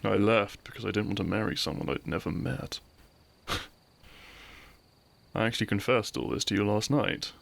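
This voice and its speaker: A man playing a runaway royal turned outlaw, a weary, tender and gently pleading lover in a romantic audio roleplay, with soft, sighing delivery. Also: lowish voice, creaky, full character voice. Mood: weary, reluctant.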